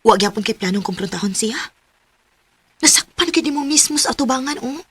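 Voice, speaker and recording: A woman's voice: fairly high pitch, animated, fairly quick, fairly bright tone, husky. A woman with a Cebuano accent voicing a loyal young best friend in a radio drama, blunt and urging. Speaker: supporting part in a radio drama.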